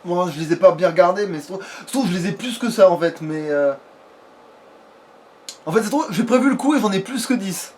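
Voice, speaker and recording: Male, high voice, casual gaming chat, colloquial swearing, distant noisy recording. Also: expressive, brisk, a little mellow. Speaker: let's play gamer. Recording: distant mic, noisy background.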